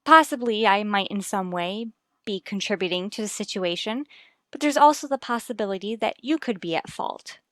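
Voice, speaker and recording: A woman's voice: medium pitch, animated, normal speed, fairly bright tone, no echo, studio quality, close to an everyday voice. An actress playing an earnest, determined wife and worried mother in a radio soap, speaking in a firm, formal, reasoning way. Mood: earnest, pleading. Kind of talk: radio soap opera acting.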